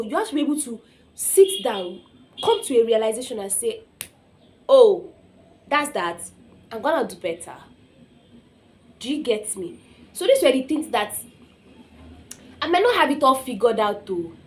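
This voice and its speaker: A young woman with a Nigerian accent gives an informal motivational talk in a fairly high voice. She is emphatic and conversational, acting out quotes and checking that listeners follow. There is noticeable background noise in the recording. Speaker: motivational speaker.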